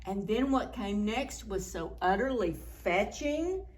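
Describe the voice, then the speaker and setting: Animated woman reading aloud to preschoolers. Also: medium pitch, takes it slowly. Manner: expressive, with asides and questions to young listeners. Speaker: children's librarian, story time host. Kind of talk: read-aloud picture book storytelling for preschoolers.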